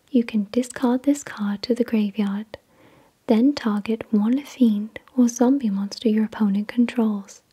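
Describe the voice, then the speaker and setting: A woman whispering in a slow, deliberate, soothing way, reading aloud in ASMR style with a breathy, unvoiced delivery. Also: a little high, mellow. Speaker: ASMR video host.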